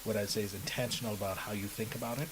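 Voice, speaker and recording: A man's voice: fairly low pitch, racing, crowd noise, low-quality recording. A middle-aged man from the Bahamas answering as an interview guest, emphatic and often checking in with a short question. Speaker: podcast guest, personal development speaker. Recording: steady background hiss.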